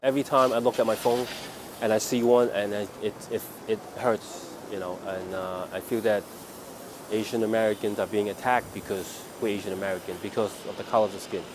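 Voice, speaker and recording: A man with a low voice speaks haltingly, stumbling and restarting as he talks as a police inspector in a news clip. Loud traffic noise runs behind him outdoors. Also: steady tone. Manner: halting, emotional, hesitant with restarts. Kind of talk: news interview soundbite.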